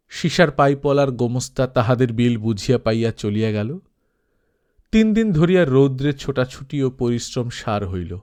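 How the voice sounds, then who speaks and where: Man narrating a literary story. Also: mid-range voice. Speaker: audiobook narrator.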